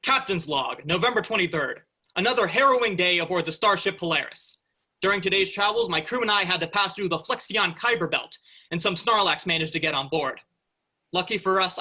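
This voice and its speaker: A man's voice: sits high, brisk, sharp tone, heavily acted. A man in a play reading, acting a hapless spaceship worker who pompously fancies himself a heroic captain. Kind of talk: staged comedy play reading.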